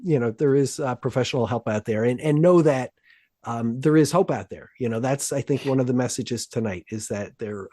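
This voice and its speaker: A man with a cold-roughened voice hosts a podcast, rambling through his thoughts with plenty of fillers and the odd swear word. His pitch sits in an ordinary middle range, and the sound is dry and close, with little room around it. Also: darkish tone, no room sound. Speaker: podcast host. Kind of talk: casual podcast chat.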